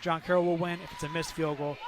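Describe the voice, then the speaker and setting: A man's voice: fairly high pitch, level tone, fast, noisy background. A man working as a color commentator on live radio, talking through game strategy in a conversational way. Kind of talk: live radio sports analysis.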